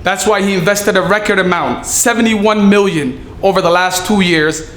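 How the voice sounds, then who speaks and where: Man, higher pitch, earnest measured speech, echoey hall with light background hum. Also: a bit bright. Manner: earnest, measured delivery with some halting fillers. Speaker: city staffer giving a speech. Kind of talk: prepared public speech. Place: event hall. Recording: echoey room with faint ambience.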